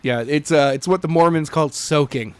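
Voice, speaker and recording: A man's voice: on the high side, brisk, a little mellow, smooth, no room sound. A man co-hosting a podcast with casual, teasing banter. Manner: joking, teasing. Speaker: podcast co-host.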